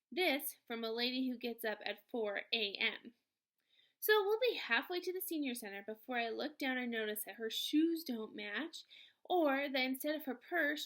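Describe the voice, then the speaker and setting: A woman reading a children's novel aloud in an expressive, emphatic storytelling manner. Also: high-pitched. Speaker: read-aloud reader, teacher.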